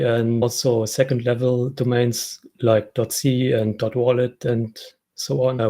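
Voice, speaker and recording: A man from Germany with a German accent, giving an informal tech talk in a hesitant, halting way with repeated words. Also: medium pitch, warm tone. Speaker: conference speaker, software developer.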